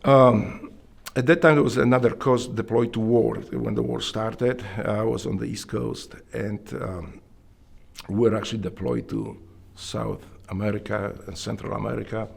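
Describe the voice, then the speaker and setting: A man with a Polish accent and a strongly gravelly, husky voice that sits fairly low. He answers interview questions earnestly, pausing and restarting as he searches for the words. He sounds middle-aged. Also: steady tone. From Poland. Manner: earnest, halting with restarts and fillers. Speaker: interviewee, Navy SEAL veteran. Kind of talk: interview storytelling.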